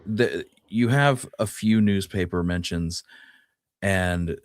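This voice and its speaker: A man's voice: sits low, slow, no echo, studio quality. A man co-hosting a history show, explaining things in an informal, conversational way. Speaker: co-host of a Western history show. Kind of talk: casual conversational history discussion.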